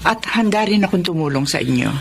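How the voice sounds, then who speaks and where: A woman's voice: lowish voice, lively intonation, fast talker, creaky, slight background noise. A woman playing an emotional, outspoken widowed mother in a Filipino radio drama. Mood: supportive, earnest.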